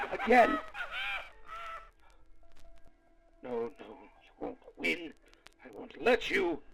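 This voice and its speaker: A man performs a foul-mouthed, world-weary researcher recording his case log in an audio drama. His voice is fairly high for a man and creaks with gravel. The delivery is halting and dramatic, as if muttering to himself. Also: a bit bright, heavily acted, rough recording. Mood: desperate, triumphant.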